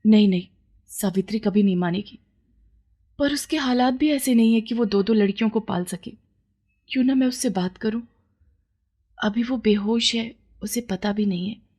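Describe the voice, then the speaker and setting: A woman's voice: mid-range voice, normal speed, long pauses, darkish tone, slightly rough, natural voice. Woman playing childless village wife, yearning, defensive, pleading, moral-story drama. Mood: anxious longing.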